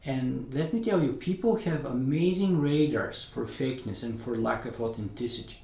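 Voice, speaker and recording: A man's voice: mid-range voice, moderate pace, gravelly, dark tone, reverberant, poor audio. A man from Bulgaria with a Bulgarian accent, a guest in an interview who talks earnestly and often checks in with "right?" and "you know". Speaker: podcast creator, guest. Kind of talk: casual interview talk.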